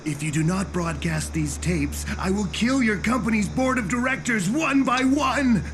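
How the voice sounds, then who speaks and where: A bullying, greedy male television producer from an anime dub. His high voice leaps around theatrically as he blusters and bosses, with music underneath. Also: animated, moderate pace. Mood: greedy excitement.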